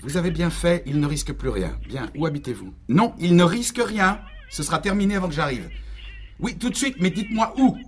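An actor playing a male doctor handling an urgent phone call calmly and reassuringly in a radio drama. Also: fairly high pitch, brisk, slight background noise, lightly acted. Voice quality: calm, reassuring.

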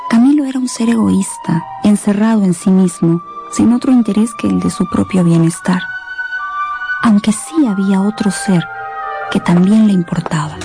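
A woman's voice: mid-range voice, mellow. Woman narrating a radio novel over music. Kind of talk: scripted dramatic storytelling for a radio novel.

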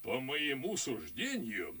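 Self-important military man, husky, deliberate delivery with big pitch swings, verse radio play. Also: wildly swooping pitch, bright, forceful tone, heavily acted.

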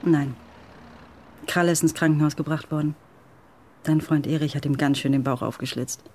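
A woman's voice: deep voice, steady tone, normal speed, a little mellow. An actress playing a guarded but caring young woman, cool and direct, in a naturalistic German radio drama. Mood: calm, evasive.

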